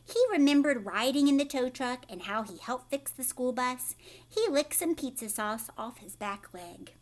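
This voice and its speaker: High-voiced woman reading to children. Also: normal speed. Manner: animated, addresses young listeners directly. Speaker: read-aloud teacher. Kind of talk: storybook read-aloud with chatty asides to children.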